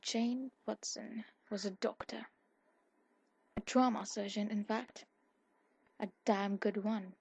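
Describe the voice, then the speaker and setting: A woman with a husky voice reading a scripted story aloud in an ASMR style. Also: medium pitch, steady tone, slow pace, pauses often, a little mellow, no room sound. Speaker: fan fiction audiobook narrator.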